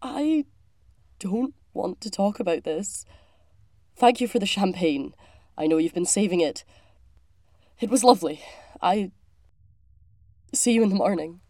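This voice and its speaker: An actress as a secretive, prickly woman alchemist in an audio drama. She speaks slowly at a middling pitch, close and dry. Her manner is curt, guarded and defensive. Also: lively intonation, a little mellow, no echo. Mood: withdrawn.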